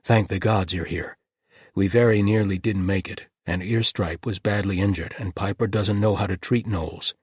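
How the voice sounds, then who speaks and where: A man's voice: low-pitched, fairly even intonation, normal speed, a little mellow, breathy edge, dry recording. A man voicing an earnest male warrior who speaks urgently.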